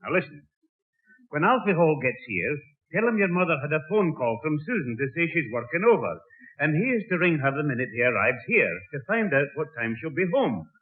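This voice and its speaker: A man's voice: on the high side, very animated, fairly quick. A man playing a fussy, bossy old British grandfather in a 1960s radio sitcom, scolding and blustering. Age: older. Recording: tinny old radio broadcast recording.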